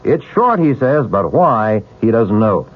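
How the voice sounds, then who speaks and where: A male radio newsman delivers a promo in short, punchy, dramatic phrases. His pitch moves a lot, and the old broadcast sounds thin and tinny, like a telephone. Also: big pitch swings, unhurried. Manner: clipped, punchy, dramatic questions and answers. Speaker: radio news presenter. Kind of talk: 1970s radio news promo. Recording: tinny band-limited vintage radio broadcast.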